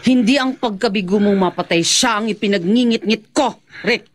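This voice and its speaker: A woman's voice: medium pitch, normal speed, close to an everyday voice. A woman playing a cold, menacing, vengeful syndicate boss in a Filipino radio horror drama, with a Filipino accent. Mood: seething. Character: ruthless vengeful female syndicate big boss.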